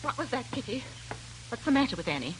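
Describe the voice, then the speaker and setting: An actress playing a fussy, nervous older aunt with a soft heart, hesitant, in 1940s radio drama style. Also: female voice, fairly high pitch, very animated, fast talker, slight background noise, rough recording. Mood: alarmed. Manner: flustered, hesitant. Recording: old radio broadcast, loud music.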